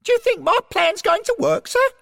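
Very high, anxious young boy. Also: expressive, normal speed, creaky, sharp tone, big-room sound, heavily acted. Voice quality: high, falsetto. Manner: deferential, insistent. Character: anxious, polite thirteen-year-old boy ghost with high voice.

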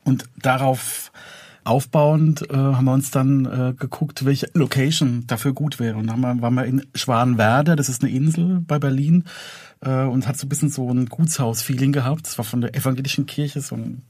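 A man with an average-pitched voice talks casually as a producer being interviewed, in an anecdotal style. The recording is dry and close, with hardly any room sound. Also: fairly even intonation, moderate pace, a little mellow, no room sound. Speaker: audio drama producer and composer.

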